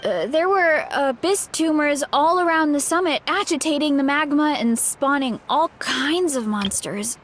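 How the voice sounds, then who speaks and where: Giggly, high-voiced young warrior. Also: female voice, expressive, measured pace, hardly any pauses, a bit bright, a little hiss or hum. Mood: easygoing. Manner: easygoing, giggly, a little absent-minded. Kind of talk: game voice acting. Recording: game audio with faint music.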